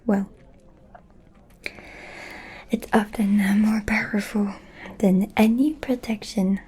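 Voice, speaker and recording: A woman playing a scheming, haughty vampire noblewoman in an ASMR roleplay, speaking in a breathy whisper with a deliberate, insinuating manner. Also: mid-range voice, warm tone, heavily acted. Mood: imperious, knowing.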